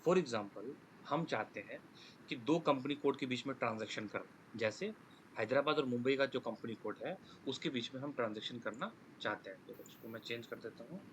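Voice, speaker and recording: A man's voice: mid-range voice, normal speed, slight background noise. A man with an Indian accent teaching a live online class, rephrasing his points, checking understanding with short questions and mixing in English technical terms. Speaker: online course instructor. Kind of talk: live lesson explaining with examples. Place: online class with screen sharing.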